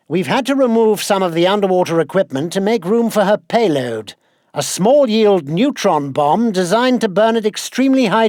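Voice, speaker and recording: Fussy high-voiced British gadget quartermaster. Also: male voice, darkish tone, no echo, studio quality. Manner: pompous, clipped, sardonic nagging. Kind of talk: scripted video game mission briefing.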